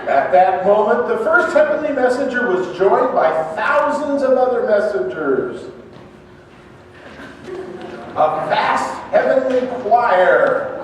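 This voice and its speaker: A man's voice: extremely high pitch, sing-song, measured pace. A man narrating a scripture dramatization to a congregation in a church. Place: large church interior. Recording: echoey church hall from far off, faint noise.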